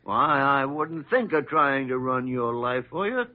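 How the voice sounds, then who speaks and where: An actor playing a gruff, meddling older male doctor in an old radio drama, with a gravelly voice and a blustering, grumbling delivery. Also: medium pitch, expressive, unhurried, natural voice. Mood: coaxing. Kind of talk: 1940s radio drama acting. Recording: muffled vintage radio recording.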